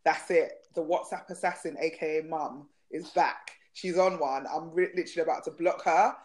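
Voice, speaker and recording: A woman's voice: low voice, steady tone, normal speed, a little mellow, a little hiss or hum. A young woman with a South London accent, guest on a podcast, talking frankly, swearing and laughing. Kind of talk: casual chat.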